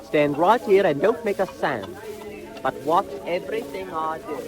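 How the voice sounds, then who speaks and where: Cocky young boy pickpocket male actor, high animated voice, bossy delivery, 1940s audio drama. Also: normal speed, close to an everyday voice. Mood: sharp, scolding. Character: the Artful Dodger, cocky, streetwise teenage boy pickpocket.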